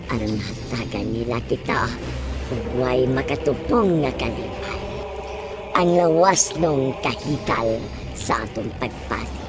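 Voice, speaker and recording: A woman with a Cebuano Filipino accent playing a sinister, seductive woman commanding her lover in a horror audio drama, with theatrical delivery. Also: extremely low pitch. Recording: clear music bed behind the voice.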